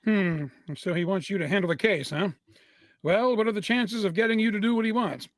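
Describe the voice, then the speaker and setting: A man's voice: sits high, fairly quick, dry recording, close to an everyday voice. A man playing a courteous, anxious lawyer with a hesitant, imploring manner in a vintage radio mystery. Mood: hopeful, pleading. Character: earnest, anxious, courteous male lawyer pleading for help.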